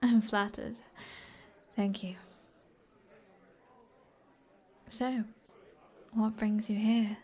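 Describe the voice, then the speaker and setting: An alluring fantasy sorceress, played by a woman with a British accent speaks slowly and softly in an intimate ASMR roleplay. Her voice is breathy and often near a whisper, medium in pitch. She is flirty and teasing, taking her time over each phrase. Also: level tone. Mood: playful, teasing.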